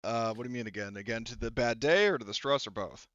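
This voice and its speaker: Smooth-voiced man running a tabletop game. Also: medium pitch, a bit bright, no room sound, dull audio. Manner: halting, informal, thinking aloud. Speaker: game master. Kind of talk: casual tabletop game narration.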